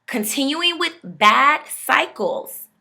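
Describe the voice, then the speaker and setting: Bright, emphatic woman motivational speaker. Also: high voice, big pitch swings, takes it slowly, gravelly. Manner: preachy, emphatic, addresses viewers directly. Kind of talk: motivational talk to camera.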